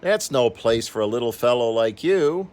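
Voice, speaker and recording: A man's voice: mid-range voice, lively intonation, normal speed, a little mellow, clear, resonant tone, natural voice. An older man voicing a kindly, earnest bus driver who loves animals, in a children's storybook.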